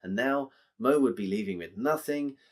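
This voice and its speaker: A man with an English accent telling a story to children in an expressive, explanatory way, addressing listeners directly. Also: medium pitch, moderate pace.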